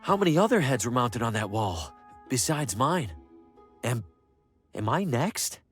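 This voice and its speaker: A man's voice: medium pitch, sing-song, unhurried, husky, soft background music. An actor playing a bemused, jittery traveling salesman with wry asides in an adventure video game. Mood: frightened, shaken. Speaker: player character in adventure game.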